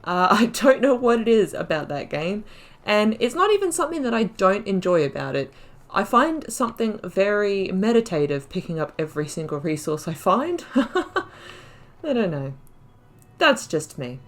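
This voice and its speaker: Female gamer for a playthrough: casual, chatty, reflective, mid-pitched, thinking out loud as the game goes. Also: big pitch swings, normal speed. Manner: chatty, reflective, often laughing at own mistakes. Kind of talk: casual gameplay commentary, thinking aloud.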